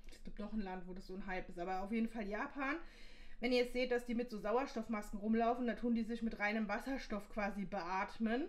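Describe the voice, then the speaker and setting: A woman in her thirties chatting informally and explaining things in a casual home vlog. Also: medium pitch. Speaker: vlogger. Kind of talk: casual vlog chat while cooking and unboxing. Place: indoors at home, kitchen and living room. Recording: clear background hiss and kitchen noise.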